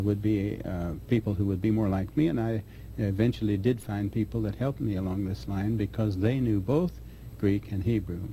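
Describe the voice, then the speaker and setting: A man answering interview questions as a scholar, speaking haltingly with restarts mid-phrase. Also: fairly low pitch, gravelly, mellow, a little hiss or hum, rough recording. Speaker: guest scholar.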